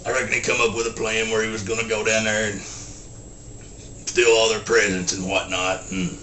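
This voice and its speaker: Halting rural Southern simpleton storyteller, forceful edge, monotone, grunting hums, film-parody style, faint background noise. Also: male voice, fairly low pitch, reverberant, low-quality recording. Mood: deadpan, plodding. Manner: halting, deadpan, grunts "hmm" between short sentences. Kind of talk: folksy storytelling, film character impression.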